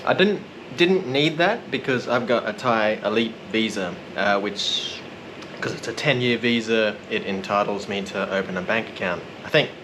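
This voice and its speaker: A man narrating a casual vlog in a relaxed, rambling, self-deprecating way. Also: lowish voice, moderate pace, background noise. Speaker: vlogger.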